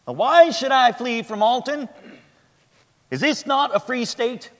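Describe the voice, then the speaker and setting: A man's voice: extremely high pitch, fairly bright tone, pitched above the performer's own voice. A man playing a defiant abolitionist pastor in a resolute, declamatory stage reading. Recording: echoing theatre stage.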